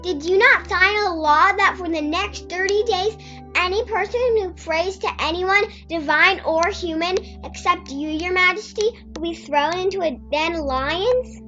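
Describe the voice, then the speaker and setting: A performer voicing a scheming royal official in a dramatised Bible reading, declaiming flattery in drawn-out phrases over muffled audio. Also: very high voice, exaggerated voice. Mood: accusing, insistent. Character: scheming royal officials addressing the king, high shrill voices. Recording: muffled audio with music behind.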